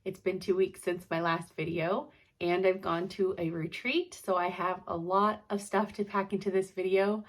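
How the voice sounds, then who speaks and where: A woman's voice: on the low side, level tone, moderate pace. Congested woman chatting about stitching. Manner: chatty, apologetic, rambling with fillers. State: congested, stuffed up. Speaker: cross-stitch vlogger. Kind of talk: casual chat.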